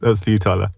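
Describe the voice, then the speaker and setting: A man with a fairly low voice talks through his choice in an online game. He is laid-back and mumbles his reasoning as he goes. His mic is dry and close. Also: gravelly, darkish tone, breathy edge, dry recording. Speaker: player. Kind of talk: casual game chat.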